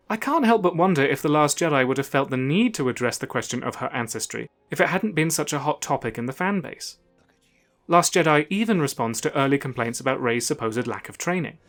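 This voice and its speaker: A man's voice: a little high, lively intonation, fairly quick. Man narrating a scripted video essay over music.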